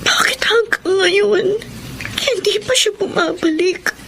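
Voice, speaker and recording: An actress playing a devoted, anguished wife in a Filipino radio melodrama, tearful and highly emotional. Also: female voice, slow pace, gravelly, bright, forceful tone, slight background noise, full character voice. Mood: tearful despair. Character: devoted, anguished young wife of missing soldier.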